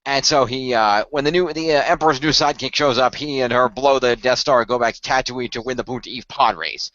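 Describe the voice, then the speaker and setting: A man's voice: medium pitch, fairly quick, fairly bright tone, no room sound, dull audio. A man co-hosting a casual podcast, rambling on in detail with a fan's enthusiasm. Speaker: podcast co-host.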